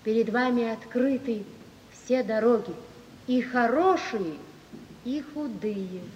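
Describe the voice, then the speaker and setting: An actress playing a gentle, wronged wife who speaks with dignified, solemn resolve in an old radio play. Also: female voice, on the high side, a little hiss or hum. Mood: sorrowful, composed. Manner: solemn, measured, earnest declamation. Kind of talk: 1950s Soviet radio play acting. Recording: dull, distant 1950s radio recording, hall reverb.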